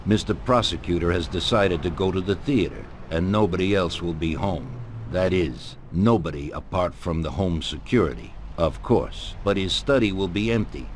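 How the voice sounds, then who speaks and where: Man acting a deep-voiced, commanding Mafia don. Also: normal speed, few pauses, a little hiss or hum, lightly acted. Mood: grave, scheming. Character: calm, commanding older Mafia don giving orders. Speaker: crime boss. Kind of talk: video game cutscene acting.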